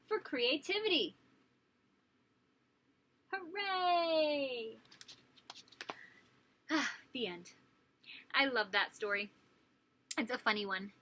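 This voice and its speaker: A woman teacher reading a story aloud to young children, animated and asking them questions. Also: high voice, slow pace, long pauses. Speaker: pre-kindergarten teacher.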